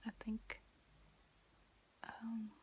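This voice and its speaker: A woman with an Italian accent gives an informal, gentle language lesson in a breathy whisper, pausing as she explains. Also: slight background noise. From Italy. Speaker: language teacher.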